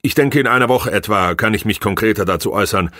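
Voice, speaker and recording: A man's voice: on the low side, sharp tone, no echo, studio quality. A man voicing a precise, matter-of-fact male technical expert in an audiobook. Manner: measured, factual.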